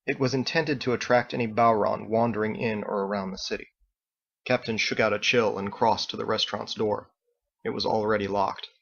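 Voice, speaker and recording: A man's voice: on the low side, steady tone, brisk, vocal fry, a bit bright, breathy edge, lo-fi sound. A man reading his own story as a scripted audiobook narration.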